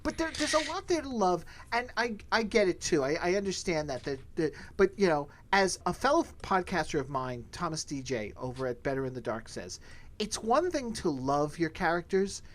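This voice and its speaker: A man's voice: high voice, sing-song, moderate pace. A man hosting a podcast, giving his opinions in a casual, emphatic way and repeating phrases for stress. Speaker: podcast host.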